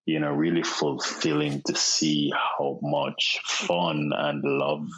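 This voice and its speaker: A Jamaican man in his thirties, a podcast guest, talking in a relaxed way with many fillers and repeated words over a remote call. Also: extremely low pitch, slow, breathy edge. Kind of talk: casual interview chat. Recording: dull lo-fi sound.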